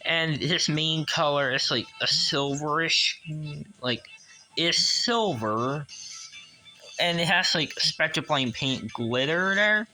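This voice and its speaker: A man giving a casual hands-on toy review as a collector, enthusiastic but halting and repetitive. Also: on the high side, pushed and bright, soft background music.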